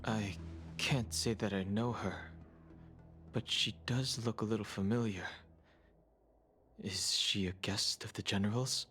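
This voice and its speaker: Game role: polite, reserved young man, terse delivery on the lower side, backed by music. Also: fairly even intonation, a little slow, pauses often, a bit bright. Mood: reserved, serious. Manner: terse, restrained. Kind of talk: English video game dialogue. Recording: game audio with music behind.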